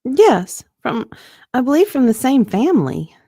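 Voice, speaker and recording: A woman's voice: high voice, big pitch swings, unhurried, warm tone, breathy edge, no echo, studio quality. A woman guest reading a witness's part in a deposition, with casual banter, some hesitation and giggles. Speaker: guest co-reader.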